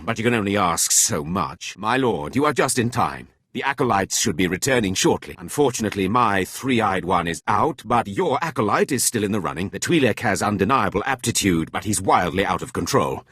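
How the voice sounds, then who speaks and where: Sneering, cruel sci-fi overseer, raspy-edged. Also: male voice, fairly low pitch, fairly bright tone, no echo, studio quality, full character voice. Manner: sneering, imperious, biting sarcasm. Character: cruel, contemptuous male Sith academy overseer, sneering at slaves. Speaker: game character, academy overseer. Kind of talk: scripted video game villain dialogue.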